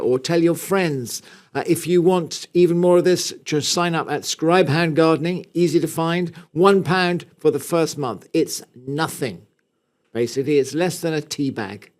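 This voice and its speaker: A man with an English accent and a fairly high voice co-hosts a podcast. He talks in a casual, chatty style. His delivery is teasing and playful, with a dry sense of humour. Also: a little mellow. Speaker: podcast co-host. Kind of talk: casual chat, reading listener questions.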